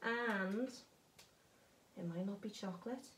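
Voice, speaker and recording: A woman's voice: mid-range voice, slow, natural voice. A woman acting a jaded, wistful hotel cleaner in a stage monologue, blunt, wry and conversational. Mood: sardonic. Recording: roomy, echoing space.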